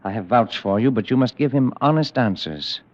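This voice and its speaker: An actor playing a smooth, sinister recruiter for a secret deadly club, in an old-time radio drama. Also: male voice, mid-range voice, expressive, fairly quick, lightly acted. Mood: ominous. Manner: suave, persuasive, ominous. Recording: dull old radio recording.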